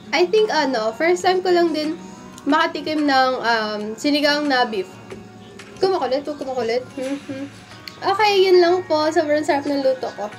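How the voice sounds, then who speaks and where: A young woman hosting a casual cooking vlog, chatty, polite and playful, often giggling as she talks to the camera indoors. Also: high-pitched. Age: young adult. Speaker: cooking vlogger. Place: dark indoor room, seated at a table.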